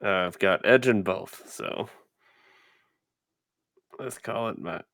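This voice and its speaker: Deep, bright-edged man at a gaming table. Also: slow, gravelly, no echo, clean studio sound. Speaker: player in a tabletop roleplaying game. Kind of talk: casual game table talk.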